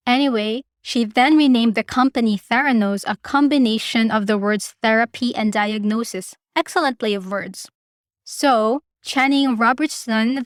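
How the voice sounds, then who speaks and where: Female podcast host, higher-pitched voice, informal and opinionated commentary addressed to listeners, very dry recording. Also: no room sound. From Philippines.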